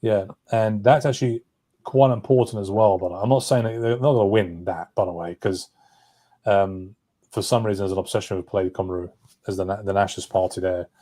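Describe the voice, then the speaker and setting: A man's voice: lowish voice, steady tone, a little fast, mellow. A man giving political commentary as a livestream guest, talking on with asides and self-corrections. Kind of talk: political discussion.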